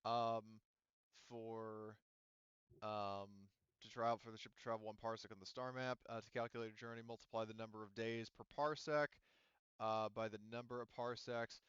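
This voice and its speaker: A man with a low, clean voice leads a tabletop game. He rambles as he works things out aloud, in casual and sometimes profane language. The sound is dry and a little muffled. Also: level tone, normal speed, a bit bright, smooth, dry recording. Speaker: game master.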